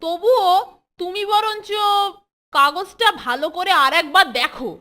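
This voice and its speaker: A woman's voice: very high-pitched, expressive, measured pace, sharp tone, exaggerated voice. A woman voicing a small, agreeable, slightly hesitant schoolboy sidekick in a children's cartoon. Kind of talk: Bengali children's cartoon dialogue.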